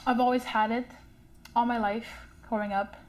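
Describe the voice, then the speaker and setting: A young woman who stutters, sharing a personal story in a slow, halting way with fillers and repeated sounds. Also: fairly high pitch, fairly even intonation. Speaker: community member sharing a story. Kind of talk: personal testimony.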